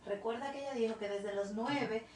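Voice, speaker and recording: Anfitriona de voz aguda, lejana, con eco. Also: female voice, on the high side, normal speed, a little mellow, slight background noise. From Dominican Republic. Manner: summarizing, guiding the story forward. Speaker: interview host. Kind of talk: conversational interviewing. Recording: echoey room, distant mic.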